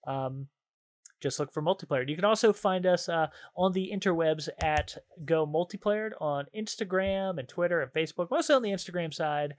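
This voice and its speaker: High-voiced playful man hosting a podcast. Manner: playful, jokey, laughs often. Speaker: podcast host and quizmaster. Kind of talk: casual chat, reading out trivia cards.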